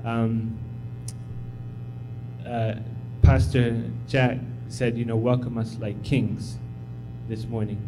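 A man's voice: mid-range voice, level tone, slow pace, noisy background. A man in his thirties who grew up in Tanzania, giving an informal, humble sermon as a visiting missionary, encouraging and full of fillers. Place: church sanctuary.

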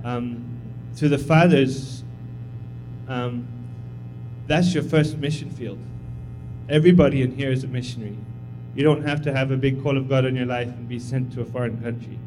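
A man's voice: medium pitch, fairly even intonation, normal speed, darkish tone, slight background noise, poor audio. A man in his thirties who grew up in Tanzania, giving an informal, humble sermon as a visiting missionary, encouraging and full of fillers. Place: church sanctuary.

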